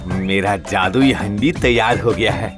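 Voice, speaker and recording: A man voicing a humble, good-hearted village potter in an animated fairy tale, earnest and eagerly repeating his phrases. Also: lowish voice, a little slow, music in the background, close to an everyday voice. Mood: hopeful.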